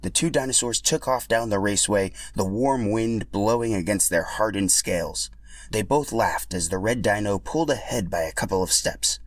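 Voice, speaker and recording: Male podcast host, scripted storytelling read, lowish voice, very dry recording. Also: moderate pace, no room sound. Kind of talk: scripted narration.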